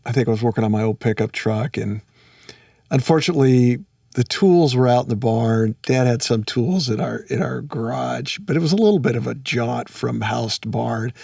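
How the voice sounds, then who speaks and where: Gravelly man, a little off the mic. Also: medium pitch, normal speed, dark tone, no room sound, studio quality, recorded from a distance. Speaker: podcast host. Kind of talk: casual conversational interview.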